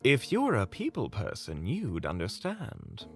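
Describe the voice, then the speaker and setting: Man playing a very animated, courteous monster hunter. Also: lowish voice, a little slow, vocal fry, music in the background, full character voice. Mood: breezy, teasing. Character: sociable, courteous, chatty male monster hunter. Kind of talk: audio roleplay drama acting.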